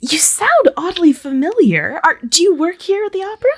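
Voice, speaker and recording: A woman's voice: very high voice, sing-song, moderate pace, heavily acted. A woman playing a polite, easily startled and helpful young woman at an opera house in a tabletop game, hesitant and politely puzzled. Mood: startled, curious.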